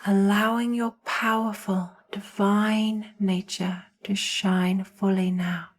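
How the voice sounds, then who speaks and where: Casting: female meditation guide, mid-pitched, very unhurried delivery, hushed and soothing, short phrases with generous pauses. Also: level tone. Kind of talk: slow guided meditation narration.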